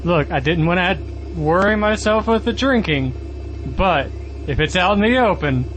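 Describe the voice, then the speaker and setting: A man playing an earnest, naive, hesitant magical character in a comedic audio drama, speaking haltingly. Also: sits high, animated, a bit bright. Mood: worried. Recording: clear engine and vehicle noise.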